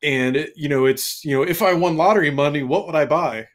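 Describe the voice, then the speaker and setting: A man in his thirties co-hosting a casual car show, talking in a relaxed, rambling way on a headset. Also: on the high side, brisk. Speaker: co-host. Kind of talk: casual podcast chat.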